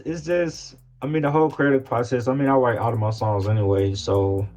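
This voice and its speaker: A man's voice: fairly low pitch, dark tone. A man chatting casually as an interview guest, informal and often repeating words as he searches for phrasing. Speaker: guest, independent rapper. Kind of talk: casual chat.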